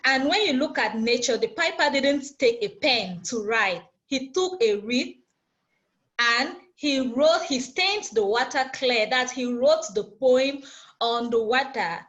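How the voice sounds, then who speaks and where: A woman's voice: sits high, a little slow, a bit bright, highs cut off. A woman hosting a group poetry discussion, explaining things step by step in repeated parallel phrases. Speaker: discussion host.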